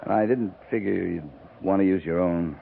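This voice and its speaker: Terse low-voiced cavalry captain, old radio. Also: male voice, moderate pace, gravelly. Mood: grave, quiet. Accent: American. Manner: terse, firm, laconic. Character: steady, commanding army cavalry captain, frontier West. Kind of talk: 1950s radio drama acting. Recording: tinny, band-limited, noisy 1950s radio recording.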